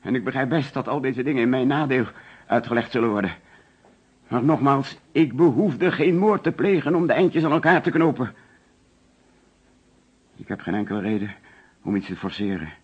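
A man's voice: mid-range voice, expressive, moderate pace, pauses often, slightly rough. An actor playing an anxious, defensive insurance agent under suspicion in a radio play, hesitant and flustered as he protests. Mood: agitated, protesting. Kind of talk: Dutch radio play acting. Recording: dull, lo-fi old radio recording.

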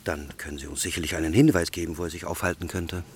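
Actor as low-voiced, coolly formal French police inspector. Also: male voice, steady tone, fast, a little mellow, slight background noise, lightly acted. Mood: cool, suspicious. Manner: formal, clipped, officious. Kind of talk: radio drama acting.

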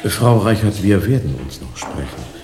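Radio play casting: authoritative, evasive doctor; formal, measured, deep-toned with very lively intonation. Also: male voice, roomy sound, background noise. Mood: guarded, formal. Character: evasive, authoritative male doctor at a research clinic. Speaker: actor.

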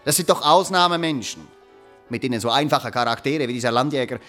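An actor playing a self-important, evasive educated musician in a radio drama, pedantic and hesitant. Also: male voice, medium pitch, quick pace, fairly bright tone, soft background music, close to an everyday voice. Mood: lecturing, fascinated. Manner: pedantic, hesitant, long-winded. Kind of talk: naturalistic radio drama dialogue.

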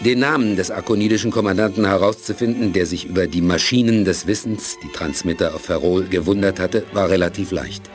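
A man narrates a science fiction radio play in an even, storytelling manner with a very deep voice. Faint music plays beneath his narration. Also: brisk, few pauses.

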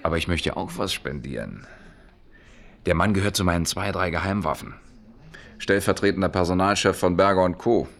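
A streetwise salesman out of work, in a German radio play. His voice is low with a gravelly creak. He talks bluntly and colloquially, with the wry pragmatism of a schemer. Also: normal speed, a bit bright. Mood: confiding, pushy.

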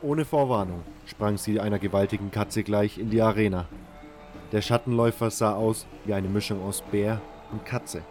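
A man's voice: on the low side, normal speed, a little mellow. A man reading scripted first-person fantasy narration as the narrator of an audio drama. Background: music.

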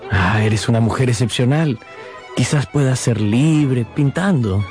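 An actor playing a doting but conventional and anxious young painter in a radio drama. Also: male voice, medium pitch, a little slow, music in the background, close to an everyday voice. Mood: placating, doting.

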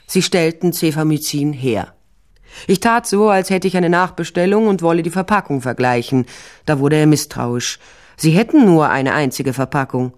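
A woman's voice: sits low, expressive, moderate pace, darkish tone. An actress playing a tough, sly private investigator, narrating her case tersely and dryly in a crime radio drama. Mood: matter-of-fact, sly.

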